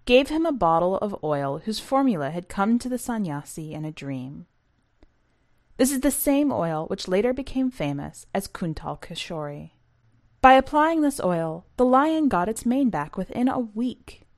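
A woman narrating a short story for a podcast in a measured, precise, scripted audiobook style. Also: mid-range voice, darkish tone.

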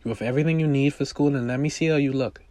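A man voicing a fussy but caring mother with a brisk manner in a script reading. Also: medium pitch, warm tone, no echo, voice pushed up, natural voice. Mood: fussing.

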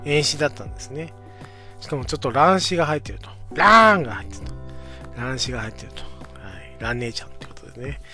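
A man's voice: mid-range voice, expressive, fast talker, pushed and bright, soft background music. A man in his forties telling a childhood story on his own podcast, speaking politely and unhurriedly, with many filler sounds. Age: 40s. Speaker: podcast host. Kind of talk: casual storytelling monologue.

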